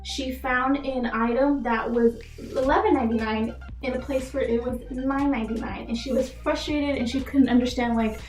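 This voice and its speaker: A woman's voice: sits high, fairly even intonation, moderate pace, hardly any pauses, darkish tone, echoey room, soft background music, poor audio. A young woman from Texas answering interview questions earnestly, peppering her speech with "like" as a filler. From Texas, United States. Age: young adult. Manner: earnest, filler-heavy with frequent "like". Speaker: job applicant being interviewed, retail worker.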